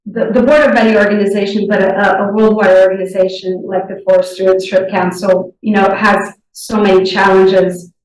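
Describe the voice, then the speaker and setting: A young woman whose first language is Portuguese, answering interview questions deliberately and often pausing to search for words. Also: medium pitch, level tone, a little mellow. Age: young adult. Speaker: interviewee, board candidate. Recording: echoey room, distant mic.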